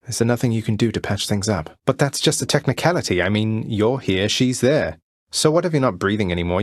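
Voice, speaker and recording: A man playing a young underworld prince in a video game, friendly, courteous and gently wry. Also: fairly low pitch, no echo, studio quality. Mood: concerned, tactful, then earnest, upbeat.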